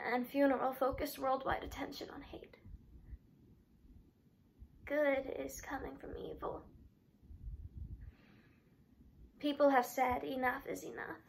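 A woman performing a dramatic monologue as a grieving father addressing his son's killer, halting and emotional, with repeated words and long pauses. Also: sits high, bright, forceful tone, exaggerated voice. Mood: solemn, subdued.

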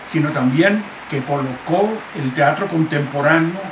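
A man's voice: fairly high pitch, a little slow, background noise. An older man, explaining things by example in a reminiscing interview.

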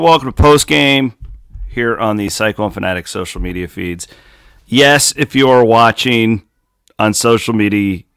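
Host, male, 30s; lowish, smooth voice, dry close mic, animated postgame talk. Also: no echo. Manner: animated, talks straight to fans with folksy asides. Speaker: sports show host. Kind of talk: postgame sports analysis. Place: home office, indoors. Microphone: studio condenser mic on desk, webcam.

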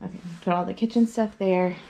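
A woman's voice: mid-range voice, fairly even intonation, a little fast, vocal fry, mellow, poor audio. A young woman vlogging casually at home, rambling with many fillers and restarts, filmed on a phone held at arm's length in a small playroom. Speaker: mom vlogger. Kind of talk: casual chat. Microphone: handheld phone camera at arm's length.

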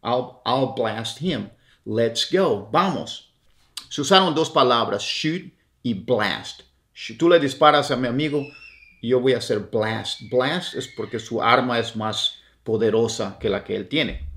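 A man, teaching English phrases with lively hand gestures in a dim indoor room. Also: medium pitch, normal speed. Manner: animated, gestures with his hands. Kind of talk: language lesson, repeating phrases slowly.

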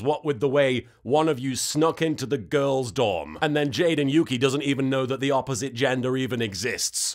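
A man in his thirties with a high-pitched voice delivers comic recap commentary. His intonation jumps around a lot, sarcastic and exasperated. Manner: animated, sarcastic, exasperated, swearing. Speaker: host of anime reaction series.

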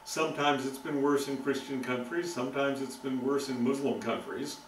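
An older man giving a relaxed, thoughtful lecture with frequent ums, recorded by a far camera in a meeting room. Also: mid-range voice, moderate pace, reverberant, a little hiss or hum. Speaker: guest lecturer. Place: meeting room with green walls.

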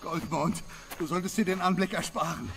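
Troubled half-elf leader over music. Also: male voice, high voice, moderate pace, vocal fry. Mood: urgent, commanding. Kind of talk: dramatic fantasy audio drama acting. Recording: loud music behind the voice.

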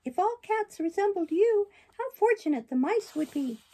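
A woman voicing a naughty, excitable wooden puppet boy in a storybook reading, with a high, eager delivery. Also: normal speed.

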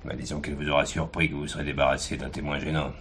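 Very deep-voiced, gruff police commissioner. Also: male voice, monotone, rapid-fire, a bit bright. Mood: hard, accusing. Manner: blunt, sardonic, bullying. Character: gruff, hard-nosed male police commissioner interrogating suspects. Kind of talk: French radio crime drama acting. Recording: noisy old radio drama recording.

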